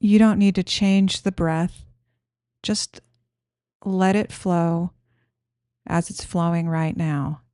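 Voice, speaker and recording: A woman guiding a meditation in a gentle, soothing, unhurried manner, giving simple instructions. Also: fairly low pitch, fairly even intonation, no echo, clean studio sound. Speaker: meditation guide.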